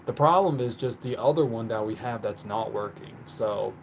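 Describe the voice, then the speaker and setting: A man's voice: medium pitch, normal speed, gravelly, slight background noise. A man casually chatting on his livestream while he paints, rambling with lots of fillers. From born in the country where he lives. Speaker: livestream host. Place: indoors at home, painting on the floor.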